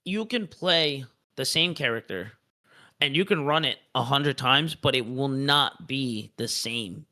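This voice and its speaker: A man hosts an esports live stream in an easy, chatty way. His voice is bright and forceful, and he keeps the energy up by repeating words for emphasis. He sits at an ordinary, middle pitch. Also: lively intonation, measured pace. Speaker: esports league host.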